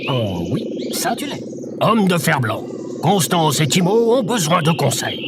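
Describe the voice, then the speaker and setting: Man acting an eccentric, theatrical scarecrow, swooping pitch. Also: big pitch swings, measured pace, very noisy. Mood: theatrical, musing. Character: eccentric, storytelling scarecrow and his tin-man companion. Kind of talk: scripted audio fiction acting.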